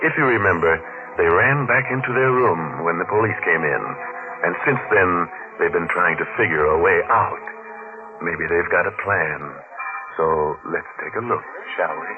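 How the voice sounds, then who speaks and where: Low-pitched male mystery host, dry mock-macabre humor, vintage narrow-band broadcast with clear music. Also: moderate pace. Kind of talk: wry 1940s radio mystery narration. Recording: narrow-band old radio, music behind.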